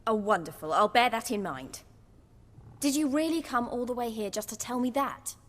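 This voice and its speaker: A woman's voice: a little high, lively intonation, moderate pace, in a large hall. An actress with a British accent playing a bitter, defiant young woman in a dramatic video game. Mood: bitter, sarcastic.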